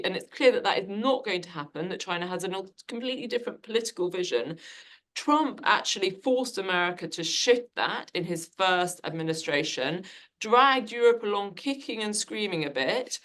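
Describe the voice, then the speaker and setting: A woman's voice: medium pitch, a bit bright. A woman moderating a panel discussion, talking conversationally with frequent restarts. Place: lecture hall.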